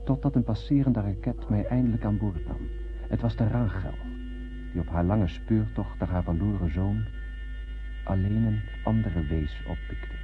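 An actor playing a haunted lone survivor of a space voyage, narrating solemnly and poetically in a radio drama. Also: male voice, fairly low pitch, moderate pace, over music, natural voice. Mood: mournful, resigned. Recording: noisy, thin, phone-like old radio recording.

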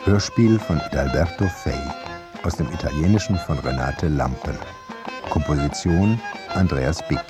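A man reading scripted radio drama credits as an announcer, measured and formal. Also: a bass voice, music in the background.

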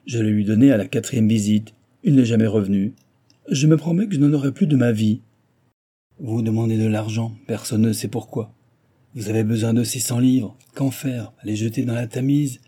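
A man reading an audiobook and voicing a stingy, gruff old male miser who blusters and scolds. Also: mid-range voice, normal speed, close to an everyday voice. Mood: grumbling. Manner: blustering, shouting, scornful. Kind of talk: audiobook dialogue.

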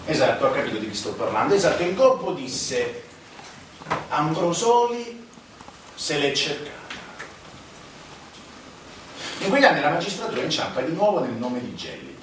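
A man's voice: a little high, wildly swooping pitch, normal speed, long pauses. A man playing a sardonic stage narrator who recounts scandals in a rhetorical, conversational monologue. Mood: wry, pointed. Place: echoey theatre stage. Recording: very echoey theatre, far mic, noisy.

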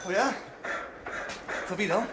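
Coarse, high-voiced mocking workman. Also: a little slow. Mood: impatient. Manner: brusque, teasing. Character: coarse, mocking coal-yard man teasing a simpleton. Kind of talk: television drama acting. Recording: very noisy 1970s television soundtrack.

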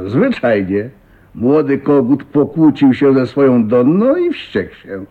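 An actor in a radio drama playing a talkative, blunt, jovial old self-made millionaire with a husky voice and a folksy manner. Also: male voice, medium pitch, big pitch swings, slow pace. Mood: wistful, reminiscing. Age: older. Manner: garrulous, folksy, blunt, with chuckles and exclamations. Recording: muffled thin narrow-band radio recording.

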